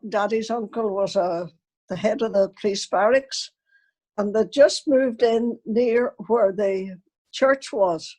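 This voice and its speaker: An elderly grandmother with a fairly high voice tells unscripted stories from her life to her family. The woman recounts them as anecdotes, speaking the remembered dialogue as she goes. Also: a little mellow. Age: older, elderly grandmother. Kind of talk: unscripted personal storytelling to family.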